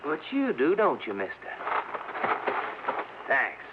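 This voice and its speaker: An actor playing a menacing, sneering killer in a 1950s radio western. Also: male voice, on the high side, wildly swooping pitch, takes it slowly, full character voice. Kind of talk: 1950s radio drama acting. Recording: muffled, noisy old radio broadcast.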